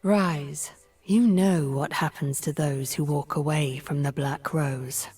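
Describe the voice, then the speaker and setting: Casting: imperious scheming game sorceress, low dark voice with a husky edge, measured menace. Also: female voice, fairly even intonation, faint music behind, full character voice. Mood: threatening. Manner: haughty, deliberate, menacing. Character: cold, scheming, commanding sorceress leader of a secret cabal. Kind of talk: video game character voice lines.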